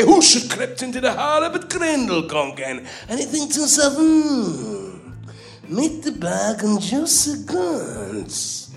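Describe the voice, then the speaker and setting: High, animated man telling a comic epic. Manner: mock-foreign broken grammar, shouting bursts, swearing. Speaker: comedy storyteller. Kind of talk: comic retelling of an epic in broken old-world English. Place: small comedy club stage.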